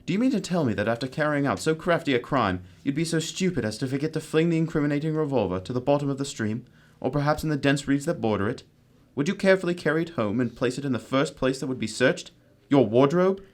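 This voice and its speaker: A composed gentleman detective from a radio mystery, acted by a man. The voice is mid-pitched, with deliberate, courteous authority and an analytical turn. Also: animated, lightly acted. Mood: assured, deductive. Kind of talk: radio drama acting.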